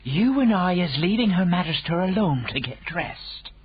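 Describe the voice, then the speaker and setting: A woman voicing a humble, kindly giant man who mangles his words, in slow grand speech. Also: lively intonation, dull audio, a little hiss or hum, natural voice. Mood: earnest. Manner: slow, grand, booming speech with broken grammar. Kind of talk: audiobook character voice.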